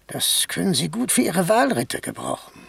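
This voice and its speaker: An eager, servile horse dealer in a satirical radio play. The voice is very high and husky, swooping in an obsequious sing-song. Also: male voice, sing-song, moderate pace, fairly bright tone, no room sound, full character voice. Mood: wheedling. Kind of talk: German satirical radio play acting, 1960s.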